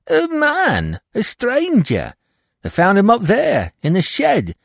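A shrill, panicking housemaid, as a male reader puts her on, her voice leaping high and wailing as she tells the news. The reading is dry and close, with no room around it. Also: gravelly, no room sound, full character voice. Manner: wailing, breathless and dramatic. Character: frightened superstitious female housemaid.

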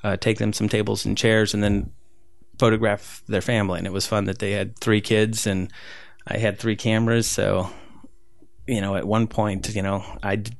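Gravelly low-voiced man, rambling casually. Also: little pitch movement, moderate pace, dry recording. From Southern California. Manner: rambling, many fillers and restarts. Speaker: street photographer guest. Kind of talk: casual interview conversation.

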